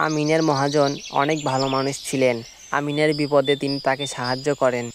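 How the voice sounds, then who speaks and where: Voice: medium pitch, flat delivery, moderate pace, noisy background. A narrator telling a village moral tale for a cartoon in a scripted storytelling style.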